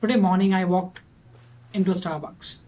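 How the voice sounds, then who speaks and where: A man's voice: high-pitched, steady tone, moderate pace, a little mellow. Indian-accented male podcast host. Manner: restarts sentences often, tags "right?". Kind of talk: interview questions.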